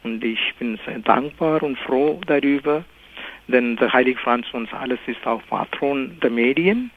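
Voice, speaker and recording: Soft-spoken priest over a thin line. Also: male voice, medium pitch, measured pace, a little hiss or hum. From India, Kerala. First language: Malayalam. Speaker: priest, guest. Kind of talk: radio interview. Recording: narrow phone-like radio sound.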